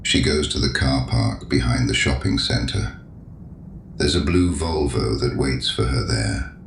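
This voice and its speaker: Creepy polite stranger in an audio drama, slow taunting gravelly talk, echoey noisy booth sound. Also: male voice, rumbling low. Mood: sly, insinuating. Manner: slow, measured, insinuating, mock-courteous. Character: mysterious menacing male penitent, courteous, coolly taunting. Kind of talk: full-cast audio drama acting. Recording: roomy echo, clear background noise.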